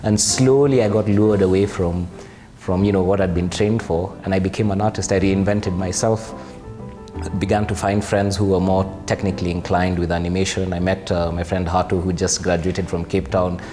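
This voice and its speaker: A man in his thirties, an animator and storyteller, telling his story articulately and in a measured way. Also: low voice, fairly even intonation, warm tone. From Kenya. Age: 30s. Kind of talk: interview testimonial. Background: background music.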